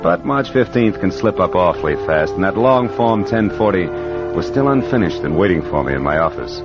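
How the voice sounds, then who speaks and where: A wisecracking American private eye in vintage radio noir. His low voice swings expressively through clipped, worldly lines. The old recording is muffled, with music behind it. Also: animated, moderate pace. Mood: wry, reflective. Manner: wry, wisecracking, clipped hard-boiled delivery. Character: wry, worldly male private detective narrating his cases. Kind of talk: 1940s-50s radio noir acting and first-person narration.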